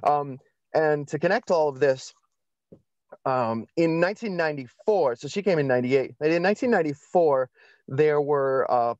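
A man's voice: on the high side, moderate pace, darkish tone, dry recording. Man talking on dull, lo-fi audio. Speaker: podcast guest. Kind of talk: conversational interview storytelling.

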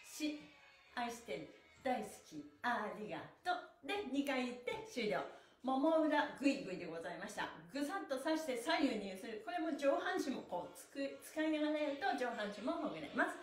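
High-pitched, animated woman instructor in echoey room. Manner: upbeat, exclamatory, chatty with polite phrasing. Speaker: stretching instructor and live-stream host. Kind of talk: cheerful exercise instruction to viewers. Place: small indoor room with bare walls. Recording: roomy, echoey small-room sound.

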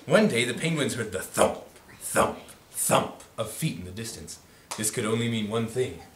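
A young man reading a picture book aloud to friends with a playful, animated delivery. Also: mid-range voice, moderate pace. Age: young adult. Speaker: storyteller. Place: small apartment living room with kitchen. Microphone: camera a few metres away. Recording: echoey room, clear noise, distant camera mic.

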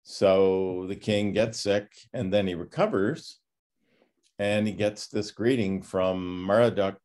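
An older man in his sixties, a pastor giving an informal class talk over a video call, reflective and halting with many pauses. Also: low-pitched, a little mellow. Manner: halting, reflective, often pausing. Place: home study with bookshelves, on a video call. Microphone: computer microphone on a video call.

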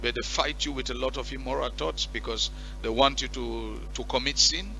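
A male pastor leading a deliverance prayer and counseling on stage through a headset mic, commanding and forceful. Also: medium pitch, steady tone, normal speed, vocal fry, faint music behind. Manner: commanding, shouting at spirits. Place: church stage with curtain backdrop.

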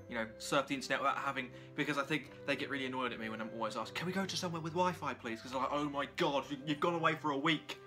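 A young man, chatting casually and informally to camera as a vlogger, rambling in an animated way. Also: medium pitch. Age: young adult.

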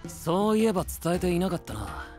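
Haughty, animated warlord strategist. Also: male voice, sits high, fairly quick, soft background music. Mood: haughty scheming. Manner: haughty, commanding. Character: arrogant, ruthless young warlord strategist commanding his squad. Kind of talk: anime voice drama acting.

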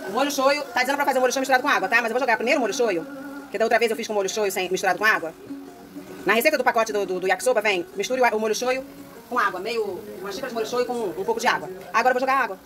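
Chatty woman cooking at home. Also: on the high side. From Brazil. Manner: chatty, talks straight to viewers. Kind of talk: casual cooking vlog. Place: home kitchen, indoors. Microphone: phone held close. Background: food sizzling in a pan, music.

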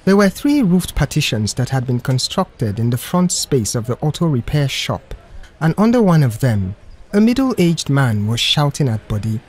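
A man's voice: mid-range voice, darkish tone. A man narrating a scripted audio story.

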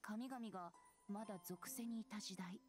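A woman's voice: medium pitch, quick pace, close to an everyday voice. Whimsical boyish bard played by a woman, music behind. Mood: lyrical, hushed. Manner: lyrical, theatrical. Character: whimsical, teasing boyish young male bard. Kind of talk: Japanese anime game narration, storyteller-like.

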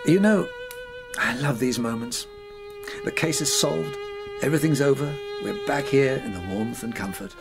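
An actor playing a loyal, modest English doctor in a radio drama, gentle and sincere in manner. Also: male voice, medium pitch, wildly swooping pitch, normal speed, gravelly, over music. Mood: wistful, content. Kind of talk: BBC radio drama acting.

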